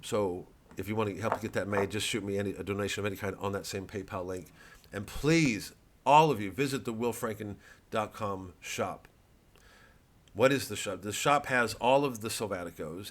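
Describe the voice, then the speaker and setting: Sardonic rambling man with a low voice. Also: a little fast. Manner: sardonic, swings into loud mocking asides. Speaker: comedian promoting his work. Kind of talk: rambling casual monologue.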